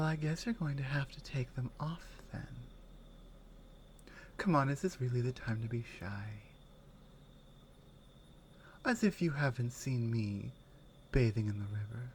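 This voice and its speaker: Actress as slow-speaking, caring Nordic warrior woman. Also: very deep voice, a little mellow, slight background noise. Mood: coaxing, teasing. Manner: unhurried, halting phrases, often dropping to a whisper. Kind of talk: ASMR roleplay, intimate one-sided dialogue.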